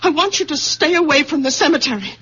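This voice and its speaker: Vintage radio drama wife: high, fretful, controlling, very animated intonation, muffled broadcast sound. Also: female voice, quick pace. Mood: stern, distressed. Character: anxious, disbelieving, controlling wife and daughter. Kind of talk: 1970s radio drama acting. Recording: dull 1970s radio broadcast recording.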